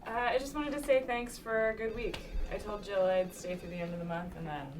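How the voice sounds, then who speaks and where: An actress playing a polite, unsure young radio staffer, speaking casually in a comedic audio drama. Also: female voice, mid-range voice, steady tone, brisk, recorded from a distance, music in the background, close to an everyday voice. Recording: noisy office, off the mic.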